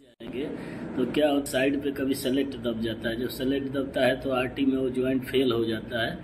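A man's voice: mid-range voice, little pitch movement, a little fast, noisy background. A man hosting a welding channel, explaining a pipe weld step by step and often calling viewers "friends". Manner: repeats "dekhiye" and "doston" often, explanatory. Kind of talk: explaining welding over filmed work.

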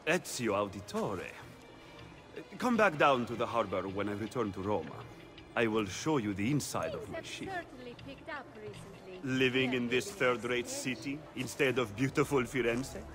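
A man's voice: medium pitch, expressive, unhurried, long pauses, music in the background, natural voice. An actor playing a smug, taunting young merchant in a video game, mocking as he talks. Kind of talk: video game cutscene acting.

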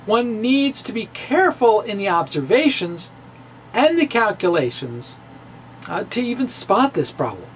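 A middle-aged man delivers a science lecture like a dramatic reading. His pitch jumps around in a lively, emphatic way, and he moves slowly with halting pauses. There is faint noise behind his voice. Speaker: science video blogger. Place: indoor room with bookshelves. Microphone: handheld-style microphone on a stand, close in front.

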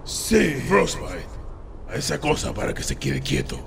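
A man's voice: fairly high pitch, measured pace, a little hiss or hum. A man with a Peruvian accent playing a commanding, scheming winged demon in a cartoon fan dub, with theatrical delivery. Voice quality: gravelly. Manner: imperious, theatrical. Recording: loud music behind the voice.

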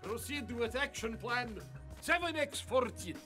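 A man's voice: very high voice, expressive, a little slow, sharp tone, soft background music, full character voice. A man voicing a pompous, scheming, greedy middle-aged villain tycoon in a cartoon, bullying and theatrical. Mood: bossy.

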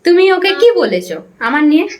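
A woman's voice: high voice, big pitch swings, quick pace. A young woman, in a confrontational phone conversation, accusing and insistent and often pressing for agreement. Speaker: prank caller.

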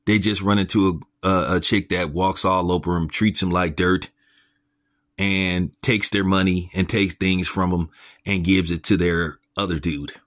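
Host, male, very low voice, blunt mocking rant, crude commentary. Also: steady tone, measured pace, a bit bright. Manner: pitch jumps up when incredulous. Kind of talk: ranting commentary monologue.

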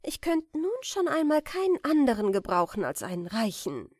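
A woman's voice: a little high, big pitch swings, normal speed, a little mellow, no echo, clean studio sound, voice pushed up. A woman voicing a vain, mercenary young beauty in a fairy tale, with a haughty manner. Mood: smug, calculating. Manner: self-satisfied, haughty.

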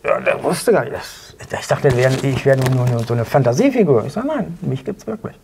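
A man in his fifties telling anecdotes as an interview guest, excitable and acting out dialogue in short bursts. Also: medium pitch, fairly quick, gravelly, background noise. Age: 50s. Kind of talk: animated anecdotal storytelling. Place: small indoor studio set at a table.

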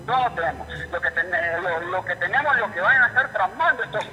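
High-voiced indignant Honduran man. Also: sharp tone, slight background noise. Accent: Honduran Spanish. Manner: indignant, halting and repetitive. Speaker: phone-in caller, relative of a patient. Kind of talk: live phone call to a news programme. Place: telephone call to a TV studio. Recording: muffled, reverberant phone line.

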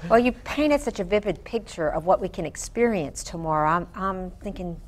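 A woman's voice: on the low side, animated, moderate pace, a little hiss or hum. A middle-aged woman co-hosting a TV morning show, chiming in with upbeat remarks. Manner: upbeat, chatty interjections. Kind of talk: TV interview hosting. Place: TV studio set.

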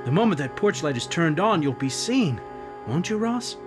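A man's voice: fairly high pitch, sing-song, normal speed, over loud music. A man playing a sinister, taunting narrator of an old-time radio mystery, addressing the listener in an ominous, needling way. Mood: taunting, tense. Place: indoors at home, video call setup.

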